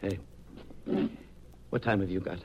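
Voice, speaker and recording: An actor playing a shaken, brooding man obsessed with time, in a halting, theatrical 1950s radio style. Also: low-pitched, fairly even intonation, noisy background. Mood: distracted. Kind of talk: 1950s radio drama acting. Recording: dull vintage 1950s radio recording.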